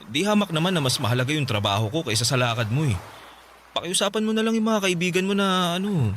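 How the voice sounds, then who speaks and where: A man's voice: a little high, animated, fast talker, fairly bright tone, slight background noise. An actor playing a pleading yet dismissive boyfriend and father in a radio drama, coaxing and insistent, with a Filipino accent.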